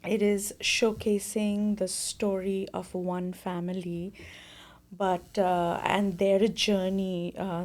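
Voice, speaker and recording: A woman from India, a playwright guest, earnestly explaining her play in a measured way. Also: on the low side, gravelly, darkish tone. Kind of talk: interview answers. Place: studio.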